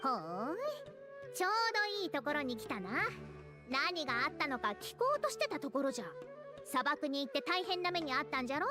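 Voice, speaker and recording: A woman voicing a tiny, cheeky, childlike fairy companion in an anime game, with a squeaky voice. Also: lively intonation, rapid-fire, over music. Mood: curious. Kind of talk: Japanese anime game voice acting.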